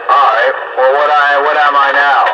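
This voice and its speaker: Higher-voiced man on a noisy radio. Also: little pitch movement, moderate pace, highs cut off. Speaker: amateur radio operator. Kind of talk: casual on-air radio chat. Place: two-way radio link. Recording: band-limited radio transmission, loud static noise.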